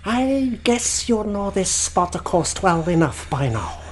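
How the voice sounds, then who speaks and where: A man's voice: sits high, big pitch swings, slow pace, slight background noise, full character voice. An actor playing a menacing blind old beggar seaman in a radio play, whining pleas and barking orders. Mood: sly, gloating.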